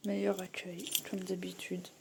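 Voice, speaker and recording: A woman's voice: on the low side, completely flat delivery, measured pace, vocal fry, background noise. A young woman vlogging in casual chatty talk, rambling and swearing a little, sick and tired. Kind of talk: casual vlog chat to camera.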